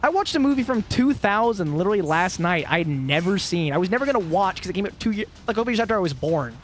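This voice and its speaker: A man's voice: high voice, lively intonation, quick pace, fairly bright tone. A man in his twenties rambling in casual gaming chat, swearing and laughing often, with frequent restarts. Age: 20s. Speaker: co-host playing a video game. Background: game music and sound effects.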